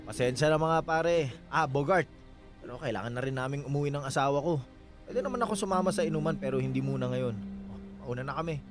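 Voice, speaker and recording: An actor playing a confident, protective newlywed husband in a radio drama, soothing yet insistent. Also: male voice, medium pitch, quick pace, over music, natural voice. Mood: placating.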